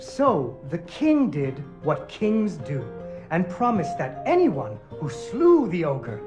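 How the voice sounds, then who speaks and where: A man's voice: on the high side, huge pitch swings, slow, heavily acted. A man voicing a playful puppet-show storyteller in a video game, telling a fairy tale in a theatrical, sing-song way, with music playing underneath. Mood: storytelling.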